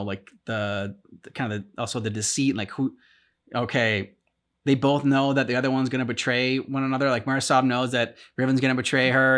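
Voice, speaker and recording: A man's voice: medium pitch, a bit bright, clean tone. Rambling man reacting to a game. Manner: rambling, halting, full of "like" and "um" restarts. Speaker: co-host of a game reaction channel. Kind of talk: casual discussion reacting to game cutscenes.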